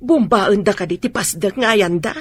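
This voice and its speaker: Husky, worried mother, played by a woman. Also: mid-range voice, normal speed, natural voice. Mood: alarmed. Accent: Ilocano. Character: worried, protective middle-aged mother. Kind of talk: Ilocano radio drama acting.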